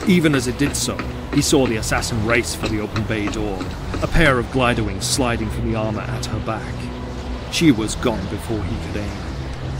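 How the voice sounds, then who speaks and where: A man with a lowish voice narrates a dramatic audio story. Loud music and battle effects run behind his scripted delivery.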